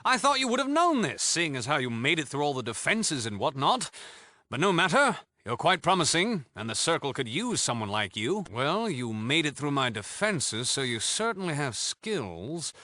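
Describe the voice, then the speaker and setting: Flamboyant british thief leader from a video game, highish pitch, sarcastic posh manners, super close dry sound. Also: male voice, big pitch swings, moderate pace, pushed and bright, no room sound. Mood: coolly condescending. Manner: arch, theatrical, sarcastic politeness. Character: cocky, flamboyant, mock-polite British thief gang leader. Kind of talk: theatrical video game character dialogue.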